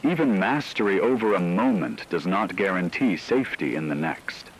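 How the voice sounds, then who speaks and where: Male narrator for dramatic scripted story: deep, unhurried, grave and portentous, over faint ambient noise. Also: animated, low-quality recording. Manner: grave, measured, portentous delivery with deliberate pauses.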